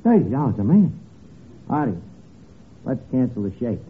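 Male actor as lowbrow New wisecracker, animated delivery, mangled grammar, deadpan comebacks, 1940s radio comedy, noisy old recording. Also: moderate pace, natural voice. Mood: haggling, sly. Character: wisecracking, tightfisted lowbrow barkeeper, a man. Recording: tinny, noisy vintage radio broadcast.

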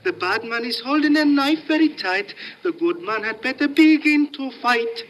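Eccentric singing boat hand, piercingly high singsong, old radio comedy-adventure feel. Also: male voice, expressive, normal speed, fairly bright tone, a little hiss or hum. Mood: singsong warning. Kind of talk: old-time radio acting.

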